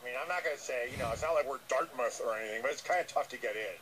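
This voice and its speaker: A man's voice: mid-range voice, rapid-fire, crowd noise, natural voice. A man voicing a nervous, earnest, dim-witted llama who hesitates and repeats himself on a comic radio call. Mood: apologetic, rambling. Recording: noisy re-recording of radio playback.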